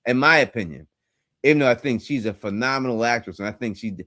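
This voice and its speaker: A man's voice: medium pitch, quick pace, bright, forceful tone, no echo, studio quality. A man co-hosting a casual call-in show, talking in a laid-back, rambling way and swearing now and then. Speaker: co-host.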